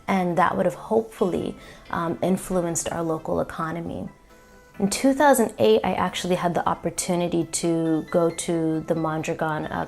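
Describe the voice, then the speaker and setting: A woman's voice: lowish voice, gravelly, a little mellow. A woman community organizer telling a personal story, reflective and earnest, with frequent ums.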